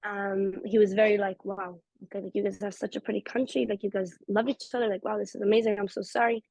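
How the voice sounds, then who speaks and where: Fast-talking Israeli woman, gravelly voice. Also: mid-range voice, no echo. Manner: fast, animated, acts out quoted voices. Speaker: interview guest. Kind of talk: casual personal storytelling. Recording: dull lo-fi recording.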